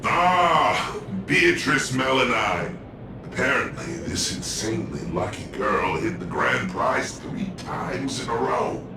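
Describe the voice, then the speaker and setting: An actor playing an easygoing young patrol guide with a laid-back, breezy way of talking. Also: male voice, mid-range voice, expressive, slow pace, roomy sound, distant mic, background noise. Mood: chatty. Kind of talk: game voice acting.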